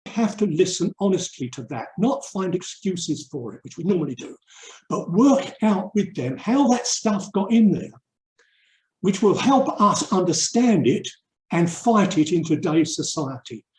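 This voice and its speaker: An older man from England giving a reflective webinar talk, earnest and emphatic, with deliberate pauses. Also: high voice, very animated. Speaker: urban mission speaker and theologian. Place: indoor room, online webinar. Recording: roomy, echoey webinar audio.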